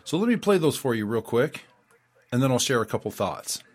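A man's voice: medium pitch, very animated, a little fast, very noisy. Guy hosting a radio show, midrange voice, rambles, lots of uh and restarts. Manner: rambling, many fillers and restarts, reads out quotes. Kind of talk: casual spoken commentary.

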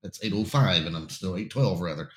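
A man with a very low, deep voice hosts a casual talk show, his throat going raspy as he loses his voice. He talks haltingly, stacking fillers and false starts, then turns blunt and emphatic. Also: lively intonation, slight background noise. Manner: halting, many fillers and restarts. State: losing his voice, getting raspy. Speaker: host.

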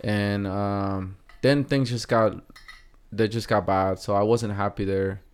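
Soft-spoken Mexican-accented man, smooth voice. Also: on the low side, steady tone, slow pace. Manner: hesitant, many fillers and restarts. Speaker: guest artist. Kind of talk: casual interview.

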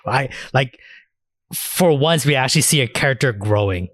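A man's voice: mid-range voice, lively intonation, moderate pace, fairly bright tone, dry recording, studio quality. A man podcast host in casual discussion, rambling enthusiastically and swearing freely. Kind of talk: casual anime discussion chat.

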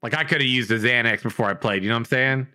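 A man's voice: very fast, clean tone. A man in casual gaming chat, telling stories with lots of swearing and acted-out reactions. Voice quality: says he has a pretty deep voice. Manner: animated, profane storytelling with acted-out reactions.

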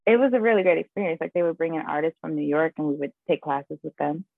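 A young woman, a guest actress, chatting in an interview and telling her story in an animated way, often laughing and saying "like". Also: deep voice, fast, vocal fry, dry recording, narrow, tinny audio. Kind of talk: casual interview chat.

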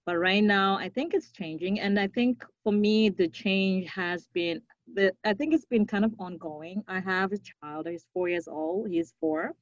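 A young woman from Zimbabwe, a podcast guest, speaking earnestly and reflectively, now and then with a soft laugh. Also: medium pitch, unhurried, dry recording, muffled recording. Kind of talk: conversational interview.

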